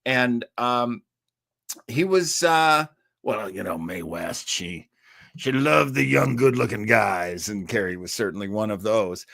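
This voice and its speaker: An American man hosting a listening party with casual live commentary, chatty and halting as he rambles. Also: fairly low pitch, creaky, fairly bright tone, no echo, studio quality. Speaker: host of an old-radio listening party.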